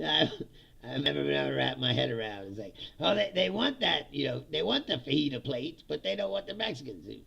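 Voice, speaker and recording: A man's voice: a little high, big pitch swings, brisk, creaky, pushed and bright, slightly rough. A middle-aged man from Indiana chatting casually on a livestream, laughing often and slipping into mock voices.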